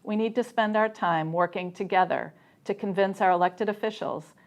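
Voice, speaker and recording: A woman's voice: medium pitch, moderate pace. A woman delivers a prepared, formal statement as a debate speaker.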